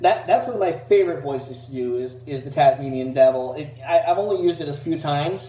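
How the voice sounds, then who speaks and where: A man's voice: animated, a little fast, warm tone. A man giving a casual, rambling demo of voices as an amateur impressionist, hesitant and self-deprecating. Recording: roomy, distant, dull low-fidelity mic.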